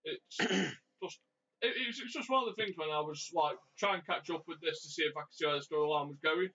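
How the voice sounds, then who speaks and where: Guy with a bright punchy voice, asks lots of questions, giggles, muffled audio. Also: on the high side, a little fast, a little hiss or hum. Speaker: podcast co-host. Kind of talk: casual wrestling chat. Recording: dull lo-fi audio.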